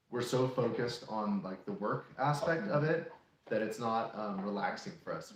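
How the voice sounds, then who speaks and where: Relaxed young man in an echoey room. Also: on the low side. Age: 20s. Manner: relaxed, practical, explains at length. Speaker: co-host of a homesteading channel. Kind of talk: casual live Q&A chat. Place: room in an off-grid shop.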